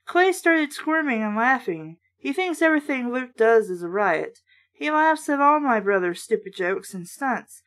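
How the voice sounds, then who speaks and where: A woman's voice: on the high side, animated, unhurried, fairly bright tone. A woman reading a children's book aloud and breaking in with sarcastic, blunt, casual commentary. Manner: sardonic, blunt, sweary.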